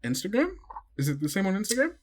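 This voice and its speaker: A man's voice: sits high, wildly swooping pitch, quick pace. A man hosting as game master, wrapping up a tabletop podcast in a loose, chatty way full of fillers. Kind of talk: casual podcast sign-off.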